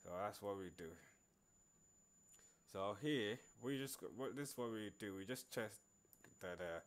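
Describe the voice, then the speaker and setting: A man narrating an informal programming screencast in a halting way, repeating words as he works. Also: fairly low pitch. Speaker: programming tutorial presenter. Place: screen recording voice-over.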